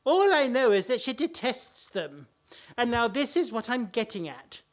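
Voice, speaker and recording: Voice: medium pitch, big pitch swings, normal speed, lightly acted. An actor in a comic radio play playing a genial, timid, henpecked older father-in-law, hesitant and a little fussy, with old-fashioned politeness. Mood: rueful. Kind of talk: stage-comedy radio play acting.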